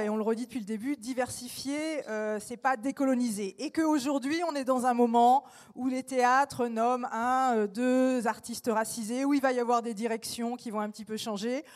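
A woman's voice: on the high side, darkish tone. A woman artist speaking on a panel discussion, hesitant with many fillers but emphatic in her points.

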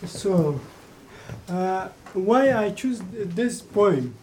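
Man, high voice, slow and hesitant, presenting his artwork in broken English. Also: expressive, a little mellow, slight background noise. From Afghanistan. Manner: hesitant, many fillers and restarts. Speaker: artist presenting an installation.

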